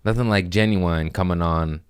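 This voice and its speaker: Deep-voiced man in loose profane banter. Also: normal speed, clear, resonant tone, no echo, clean studio sound. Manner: loose, profane, jokey rambling. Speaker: podcast host, comedian. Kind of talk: casual chat.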